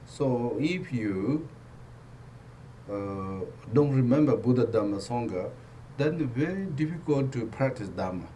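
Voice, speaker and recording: A man with a Tibetan accent giving a Buddhist teaching in simple, broken English, repeating phrases and joking gently. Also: mid-range voice, animated, a little slow, slight background noise. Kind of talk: dharma teaching talk.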